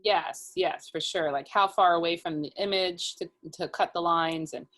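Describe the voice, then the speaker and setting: A woman's voice: on the low side, steady tone, normal speed, a bit bright. A woman speaking as an illustrator guest in a casual interview, explaining her process step by step in informal language.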